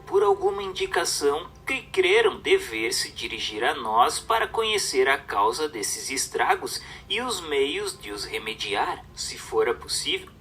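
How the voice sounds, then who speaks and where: A man's voice: mid-range voice, pushed and bright. A man reading a religious book as dramatic scripted narration, in a solemn, emphatic, declamatory manner.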